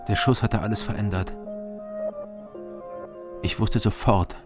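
Low weary noir-ish narrator, haunted, terse, radio play with music behind. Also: male voice, steady tone, slow pace. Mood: remorseful. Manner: sober, terse short sentences. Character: world-weary, guilt-ridden male narrator, haunted former border soldier. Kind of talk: scripted first-person radio drama narration.

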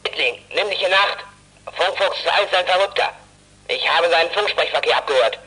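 An actor playing a sly, menacing prankster transmitting over CB radio in a children's audio drama. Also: male voice, sits high, moderate pace, sharp tone, husky, exaggerated voice. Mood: urgent, scheming. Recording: faintly noisy CB radio transmission.

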